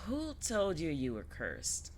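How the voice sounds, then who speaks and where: A woman's voice: deep voice, wildly swooping pitch, slow pace, a little hiss or hum, heavily acted. A woman playing a wry, teasing older witch mentor in an audio drama, talking in a casual, slangy way. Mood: serious, explaining. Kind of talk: scripted audio drama acting.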